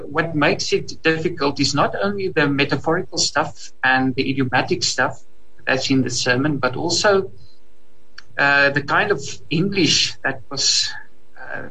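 A man's voice: a little high, sharp tone, low-quality recording. A man from South Africa's Free State with an Afrikaans accent, a native Afrikaans speaker translating, talking in a hesitant, self-deprecating way. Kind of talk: panel discussion.

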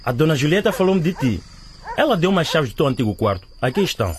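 Stern, animated gate guard. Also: male voice, on the high side, quick pace. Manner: barking orders. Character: officious, stern male school gate guard. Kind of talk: radio drama acting.

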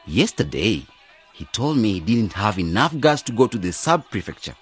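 An actor playing an earnest, optimistic young graduate who leads a village cooperative in a radio drama. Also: male voice, fairly low pitch, very animated, normal speed, husky, faint music behind. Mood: frustrated. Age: young adult.

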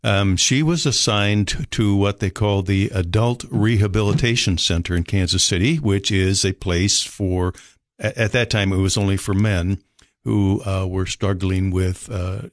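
An older man from Minnesota with a Minnesota accent, telling his life story as a guest in an unhurried, polite way. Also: low-pitched, fairly even intonation, dry recording, clean studio sound. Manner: unhurried, polite, frequent fillers. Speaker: rescue mission director, guest. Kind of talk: relaxed storytelling interview.

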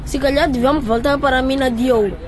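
A man's voice: very high-pitched, fairly even intonation, fast talker. An actor playing a cold, hungry boy begging on a street in a radio drama, with a plaintive voice. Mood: pleading.

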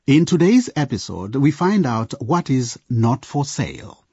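A man narrating a radio drama series in an expressive, scripted style. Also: medium pitch, a little slow, heavy background noise. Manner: lively, expressive delivery. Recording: dry, dull studio narration.

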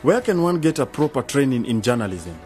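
Radio drama casting: man playing curious, plain-spoken young male novice, medium pitch, music underneath. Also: very animated, a little fast, a little mellow, natural voice. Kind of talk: scripted educational radio drama.

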